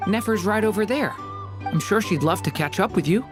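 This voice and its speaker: A woman's voice: mid-range voice, animated, fairly quick, over music, lightly acted. A woman voicing a supportive, easygoing young friend in an anime-style video game.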